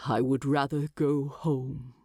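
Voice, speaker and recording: A gravelly, slow-spoken dwarf king, played by a woman narrator, weary and homesick but resolute. The voice is low and grave, each phrase set down deliberately, as in a storybook reading. The sound is close and dry. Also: flat delivery, warm tone, no room sound, full character voice. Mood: firm. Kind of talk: audiobook storytelling.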